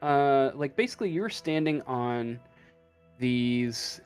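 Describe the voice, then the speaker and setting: A man running a tabletop game as game master, improvising the narration haltingly as he thinks aloud. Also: mid-range voice, lively intonation, darkish tone, smooth, soft background music.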